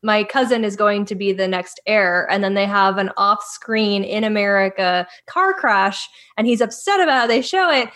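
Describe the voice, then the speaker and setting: A woman chatting casually about a drama, animated and laughing often. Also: medium pitch, moderate pace, few pauses. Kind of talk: casual drama recap chat.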